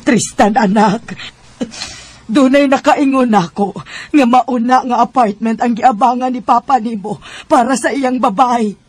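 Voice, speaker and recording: A woman with a Cebuano accent playing a fierce, betrayed middle-aged wife and mother in a radio drama, her voice husky. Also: a little high, unhurried, darkish tone, no room sound. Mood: grim, determined. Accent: Cebuano Filipino. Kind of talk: Bisaya radio drama acting.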